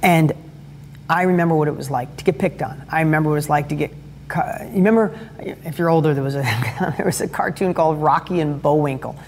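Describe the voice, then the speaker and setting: A man with a high-pitched voice gives a motivational talk as a father and host, telling stories energetically and rambling with restarts. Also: fairly quick, slight background noise. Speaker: host and father giving advice.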